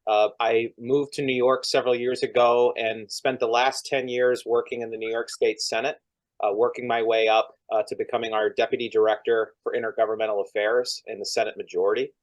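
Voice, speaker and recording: A man's voice: mid-range voice, little pitch movement, fairly quick, low-quality recording. Polished man from Vermont on video call. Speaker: lobbyist and former legislative staffer. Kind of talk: casual video-call interview.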